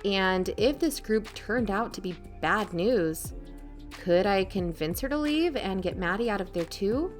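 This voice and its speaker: A woman's voice: medium pitch, animated, unhurried, faint music behind, lightly acted. A woman playing a wary, protective aunt in an audio drama, confiding and dryly skeptical. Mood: wary, wry. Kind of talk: first-person audio drama narration.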